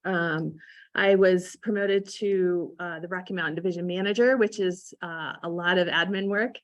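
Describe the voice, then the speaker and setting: 40s woman on a panel, lots of uh and and, kinda low voice, dry sound. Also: fairly even intonation, no echo, dull audio. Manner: halting, many fillers and repeated words. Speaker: panelist, ski development director. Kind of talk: conference panel talk.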